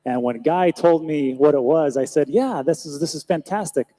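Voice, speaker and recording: A man's voice: on the high side, moderate pace, dark tone, rough recording. An American man speaking as a panelist at a live event, chatting easily and tossing joking asides to the crowd. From United States. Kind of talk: live panel talk on stage. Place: event stage before an audience.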